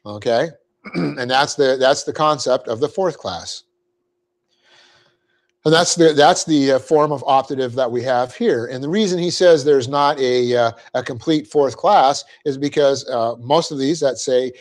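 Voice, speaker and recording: A man teaching a Bible class, explaining Greek grammar step by step, spelling out words and clearing his throat often. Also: medium pitch, a little slow. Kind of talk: verse-by-verse Bible class lecture.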